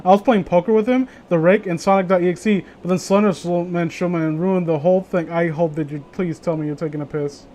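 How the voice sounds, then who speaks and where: A man's voice: high-pitched, steady tone, fast talker, few pauses, warm tone, slight background noise. A man chatting casually as a streamer, rambling and opinionated, with plenty of swearing. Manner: rambling, profanity-laden, opinionated. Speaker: streamer hosting a group story reading. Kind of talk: casual chat.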